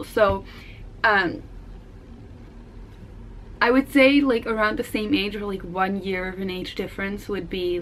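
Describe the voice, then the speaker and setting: A woman's voice: medium pitch, fairly bright tone, slight background noise, rough recording. A Dutch teenage girl with a Dutch accent, answering viewer questions in a casual vlog, frank and blunt, swearing casually. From Netherlands. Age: teens. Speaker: vlogger.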